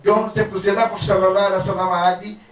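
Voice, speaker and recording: A preacher with Arabic as his first language, reciting prayers in Arabic at speed and then explaining them slowly in halting French at a gathering. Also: high-pitched, level tone, a little hiss or hum. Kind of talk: sermon. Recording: roomy, distant, dull sound.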